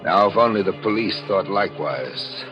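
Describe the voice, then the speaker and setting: An actor playing a coldly scheming husband in a 1940s radio thriller, deliberate, menacing and smugly self-satisfied. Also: male voice, sits low, expressive. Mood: smug, brooding. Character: coldly scheming husband confessing his perfect murder. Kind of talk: 1940s radio thriller acting and first-person narration. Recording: dull old radio recording, music behind.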